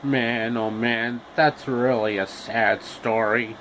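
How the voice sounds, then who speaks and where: A man's voice: medium pitch, steady tone, slow, a bit bright, slight background noise, voice pushed down. A man voicing a sympathetic, encouraging scarecrow from a storybook, hesitant and earnest.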